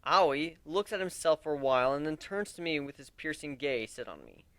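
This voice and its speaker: An expressive male narrator reads a first-person story in a conversational way. His voice sits fairly high and swings widely in pitch, recorded close and dry. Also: moderate pace, no room sound. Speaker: narrator of a dramatic reading.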